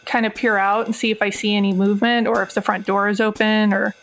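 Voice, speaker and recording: A woman's voice: medium pitch, flat delivery, quick pace, a bit bright, faint music behind. A woman playing a tabletop roleplaying game, informally thinking aloud as she describes what her character does. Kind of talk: casual chat, describing character's actions.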